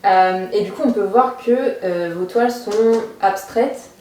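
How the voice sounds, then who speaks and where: A woman's voice: medium pitch, unhurried, noisy background. A young woman in her twenties interviewing an artist in a gallery, polite and hesitant with fillers. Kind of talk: casual interview. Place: art gallery room. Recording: roomy sound from off mic.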